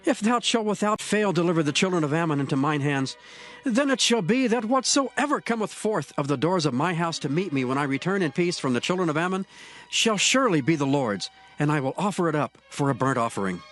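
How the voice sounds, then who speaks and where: An actor playing an anguished, solemn Israelite warrior leader in a dramatized scripture reading, declaiming in emphatic archaic phrases. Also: male voice, fairly high pitch, expressive, brisk, fairly bright tone, soft background music. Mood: solemn vowing.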